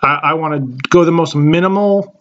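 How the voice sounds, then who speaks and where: A man's voice: on the high side, moderate pace, a bit bright, highs cut off. A man in his late twenties, a podcast co-host chatting casually and rambling with many fillers and restarts. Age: late 20s. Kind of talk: casual chat.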